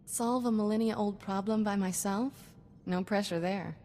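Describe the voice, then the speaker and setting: An actress playing a sassy, cocky young Jedi sidekick in a video game, with wry, clipped banter. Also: female voice, medium pitch, measured pace, a little mellow, in a large hall, a little hiss or hum, close to an everyday voice. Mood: sardonic.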